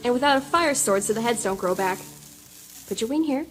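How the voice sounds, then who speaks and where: A woman's voice: a little high, lively intonation, a little fast, a bit bright. Lady doing a strict pony nurse for a radio drama, dry and no-nonsense, bit of music behind. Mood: brisk scolding. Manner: dry, scolding. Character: stern, no-nonsense female pony nurse scolding her patient. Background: music bed.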